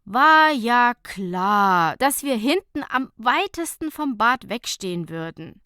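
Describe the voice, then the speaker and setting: A woman's voice: on the high side, big pitch swings, slow pace, sharp tone, clear, resonant tone, no echo, studio quality, pitched above the performer's own voice, heavily acted. A woman voicing a fun-loving, wry and playfully vain female friend in an audiobook story. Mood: grumbling. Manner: wry, mock-coquettish.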